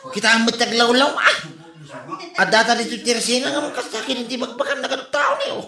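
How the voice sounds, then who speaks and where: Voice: medium pitch, expressive, a little fast, bright, forceful tone. An actor playing a boastful, quarrelsome drunken husband in an Ilocano comedy audio drama, blustering and exclaiming. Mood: indignant. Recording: echoey room.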